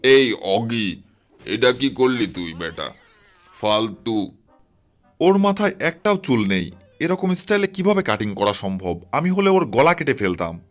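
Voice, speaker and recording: A man's voice: mid-range voice, big pitch swings, unhurried. A man voicing a cocky, grumbling parlour worker in a comic cartoon dub, with dramatic delivery. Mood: exasperated.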